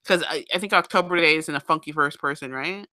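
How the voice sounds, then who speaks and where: A woman with a somewhat nasal voice, co-hosting a live show, chatting in an animated way. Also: low-pitched, quick pace. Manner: animated, laughs often. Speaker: podcast co-host. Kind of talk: casual chat about audiobooks.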